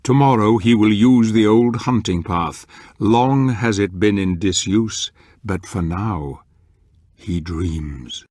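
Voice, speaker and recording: An older man acting as a solemn, portentous and brooding narrator of a dark fantasy game, speaking in measured dramatic narration. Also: fairly low pitch, dry recording, clean studio sound. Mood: solemn, ominous. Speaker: story narrator.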